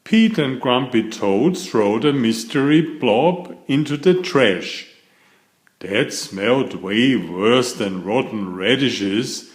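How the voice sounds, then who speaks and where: Male read-aloud narrator for a children's picture book: slow, very animated, echoey room. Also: mid-range voice. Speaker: storybook reader. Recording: roomy echo.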